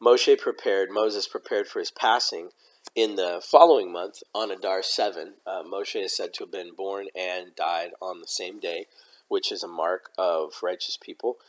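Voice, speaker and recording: A man with a slightly hoarse voice hosting an informal Bible study, explaining in a halting, explanatory way. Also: sits low, fairly even intonation, poor audio. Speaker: host of a Bible study podcast. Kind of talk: informal religious teaching with scripture readings.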